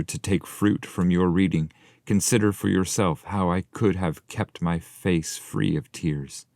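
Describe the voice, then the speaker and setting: Very deep-voiced man reading literature. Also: darkish tone, dry recording, studio quality. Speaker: translator and reader. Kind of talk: scripted literary reading, summary and verse.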